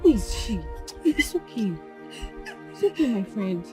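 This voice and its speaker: A woman's voice: medium pitch, sing-song, a little slow, music in the background. A woman acting a concerned, protective young friend in a film drama, insistent, with a Nigerian accent. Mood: soothing, worried. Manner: repetitive, insistent soothing.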